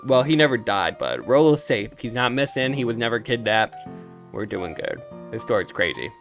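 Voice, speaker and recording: A man giving casual, animated game commentary as a let's play streamer, reacting aloud to the story. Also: mid-range voice, moderate pace, vocal fry. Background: game music.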